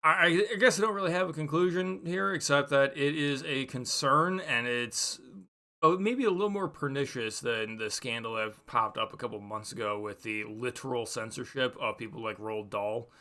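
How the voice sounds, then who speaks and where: A man giving a casual, unscripted book review, thinking aloud in a halting, rambling way and offering hedged opinions. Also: medium pitch, sharp tone.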